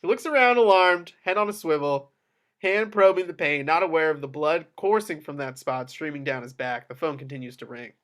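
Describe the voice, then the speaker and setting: A man's voice: a little high, brisk, a bit bright. A man reading screenplay action lines as the narrator at a table read. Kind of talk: screenplay stage-direction narration.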